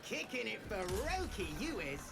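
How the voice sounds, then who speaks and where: A man playing a scrappy, earnest young street kid in a game cutscene, with slangy, heartfelt speech and rough grammar. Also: sits high, big pitch swings, normal speed, over loud music, exaggerated voice. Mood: thrilled.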